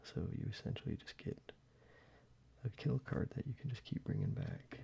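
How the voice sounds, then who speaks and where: A man whispers and speaks softly in a relaxed, casual way, with a gravelly edge and a dark, mellow tone. The recording is a little muffled, with a faint hiss. Faint clicks of handled cards sit in the background. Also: medium pitch, moderate pace. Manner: soft-spoken, mostly whispered, casual with fillers. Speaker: ASMR creator. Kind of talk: soft-spoken ASMR card showcase.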